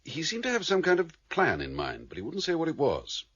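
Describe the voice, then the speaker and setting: An actor in a radio drama playing a grave, commanding male leader of an underworld council, speaking formally and with measured authority. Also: fairly high pitch, wildly swooping pitch, fairly bright tone, slightly rough, exaggerated voice. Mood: measured, guarded. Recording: dull, band-limited radio drama recording.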